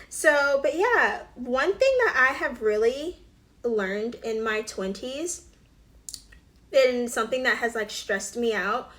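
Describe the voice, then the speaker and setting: A woman's voice: a little high, fairly bright tone. A woman, chatting casually while doing her makeup, with lots of fillers and the odd whispered aside. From Alabama. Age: 20s. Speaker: beauty vlogger. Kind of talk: casual get-ready-with-me chat.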